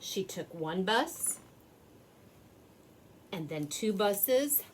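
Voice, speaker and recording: Animated woman reading a children's storybook. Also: medium pitch, slight background noise. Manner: expressive, with drawn-out words for effect. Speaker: storybook reader. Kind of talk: animated children's read-aloud narration.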